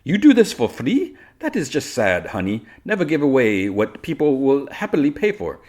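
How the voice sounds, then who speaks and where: A man voicing a flirty, taunting foreign villainess who speaks broken English with drawn-out jabs. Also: mid-range voice, wildly swooping pitch, a little mellow, pitched above the performer's own voice.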